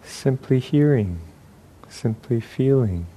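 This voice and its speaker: A man leading a guided meditation as a teacher, speaking gently and unhurriedly with long pauses. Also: mid-range voice, lively intonation, poor audio.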